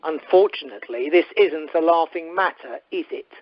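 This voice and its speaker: A man playing a calm, stern undercover investigator in an amateur radio play, formal and measured. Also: on the high side, no room sound, close to an everyday voice. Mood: smug, stern. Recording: dull telephone-conference recording.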